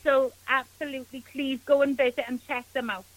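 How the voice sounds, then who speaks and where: A woman's voice: high voice, little pitch movement, fairly quick, low-quality recording. A woman with a Welsh accent, a spiritual healer speaking as a guest on a radio interview, earnest and gushing with thanks.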